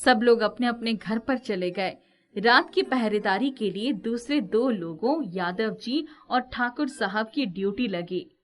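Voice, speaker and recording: A woman reads a scripted story as narrator. Her voice is a little higher than most women's, and she tells the tale in a storybook style. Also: lively intonation, a little slow.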